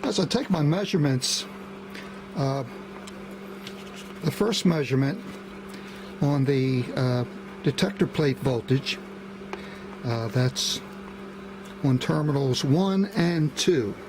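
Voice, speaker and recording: A man giving a casual, unscripted demonstration as a hobbyist repairer, halting and methodical. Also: medium pitch, animated. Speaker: hobbyist radio restorer. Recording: clearly noisy hobby video recording.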